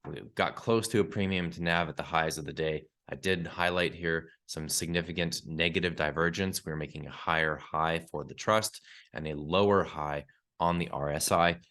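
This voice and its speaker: A man hosting a solo market update podcast, talking through prices and charts in a steady analytical way with some fillers, his voice congested from a cold. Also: extremely low pitch, normal speed. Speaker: podcast host and newsletter publisher.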